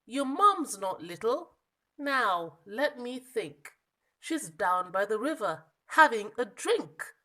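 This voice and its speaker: A woman's voice: on the high side, big pitch swings, slow pace, bright, forceful tone, full character voice. A woman voicing an eager, confident but muddled butterfly from a children's storybook, coaxing and encouraging with emphasis. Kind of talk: children's storybook character reading.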